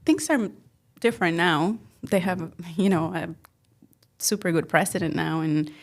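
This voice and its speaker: A woman's voice: fairly low pitch, a little slow, creaky. A woman from Mexico with a light Spanish accent, a guest on a podcast, chatting casually with frequent laughs and restarts. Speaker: guest entrepreneur. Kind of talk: casual interview chat. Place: podcast studio.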